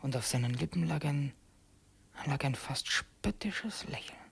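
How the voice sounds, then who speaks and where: An actor playing a secretive, brooding would-be assassin in a radio drama, intense and introspective, as if confessing to himself. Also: male voice, a little high, lively intonation, creaky, husky, full character voice. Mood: hushed, reflective. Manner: intense, introspective, halting self-questioning. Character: brooding young male conspirator and would-be assassin, torn and secretive.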